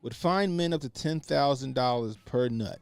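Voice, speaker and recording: A man hosting a live reaction stream, cursing freely and laughing at what he watches. He drops into low mutters and then bursts out loud. His voice is dry and close, with faint music behind. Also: animated, moderate pace, creaky, clean tone, no echo. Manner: crude, laughing, swings from mumbled asides to loud outbursts. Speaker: stream host. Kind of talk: casual reaction commentary.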